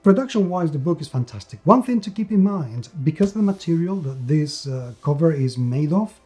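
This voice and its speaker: A man's voice: fairly high pitch, lively intonation, moderate pace, warm tone. A man giving an unscripted, enthusiastic video review of a tabletop game, repeating words for emphasis.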